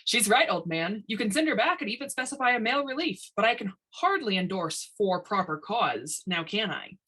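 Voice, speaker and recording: A woman's voice: medium pitch, big pitch swings, normal speed, bright, forceful tone, husky, voice pushed up, exaggerated voice. A woman voicing a brash, cheerful and smug male chief inspector with a breezy, pedantic manner in a story reading. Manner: breezy, pedantic, formal wording.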